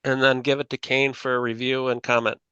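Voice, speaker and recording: Smooth-voiced man, dull meeting audio. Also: mid-range voice, level tone, a little fast, fairly bright tone, dry recording. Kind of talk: informal meeting discussion.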